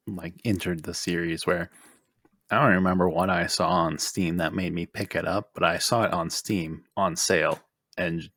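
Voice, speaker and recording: Low, husky man in casual chat. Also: fairly bright tone, no echo, studio quality. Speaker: podcast co-host. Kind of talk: casual chat about video games.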